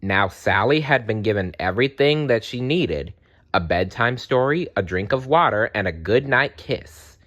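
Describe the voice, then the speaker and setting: A man narrating a children's story aloud in an expressive storytelling style. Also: medium pitch, normal speed, highs cut off.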